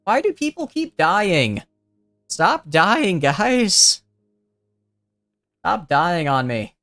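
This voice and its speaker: A man's voice: high-pitched, very animated, extremely slow, sharp tone, dry recording, studio quality, faint music behind. A young man streaming a game, chatting casually and rambling as he thinks aloud, with a youthful-sounding voice. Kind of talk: casual gameplay commentary.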